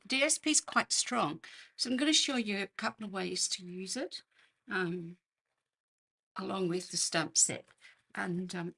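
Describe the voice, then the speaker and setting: Woman, Australian accent, very husky and croaky, unhurried pace, casual craft demonstration, chatty murmured asides. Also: medium pitch, bright, forceful tone. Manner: unhurried, chatty, murmuring to herself while working. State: croaky voice, clearing throat. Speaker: host of a craft demonstration livestream. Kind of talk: casual live craft tutorial, talking while working.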